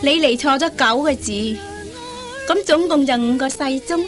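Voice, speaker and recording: A woman's voice: sits high, moderate pace, soft background music, natural voice. A woman playing a coquettish, money-minded young dance hostess in a radio comedy, coy and coaxing with a needling edge. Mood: cool, bargaining. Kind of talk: Cantonese radio comedy acting.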